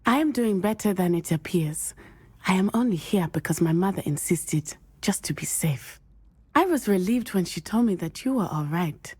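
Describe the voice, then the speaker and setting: A woman's voice: fairly low pitch, expressive, normal speed, darkish tone, slightly rough. An actress playing an earnest, determined young student witch with a precise, formal way of speaking. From Matabeleland. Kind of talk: video game voice acting.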